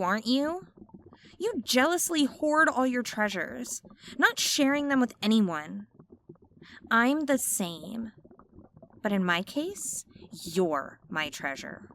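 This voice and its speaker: A woman playing an obsessive, possessive mermaid who lures a pirate down to her undersea palace, speaking in a slow, coaxing, intimate ASMR roleplay. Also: on the high side, fairly bright tone, a little hiss or hum, full character voice. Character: possessive, obsessive female mermaid queen, young adult.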